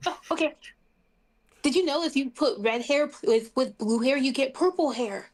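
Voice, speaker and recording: A woman's voice: high voice, normal speed. A woman chatting casually and playfully as a player in an online tabletop roleplaying game.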